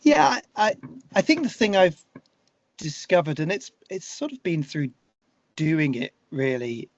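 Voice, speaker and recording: Middle aged guy chatting in an interview, kinda high voice, earnest, stop-start, dry but slightly muffled audio. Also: big pitch swings, dry recording. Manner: earnest, halting, with emphatic stresses. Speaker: guest, singer-songwriter. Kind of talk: conversational interview, reflective. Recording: slightly dull, lo-fi conversation audio.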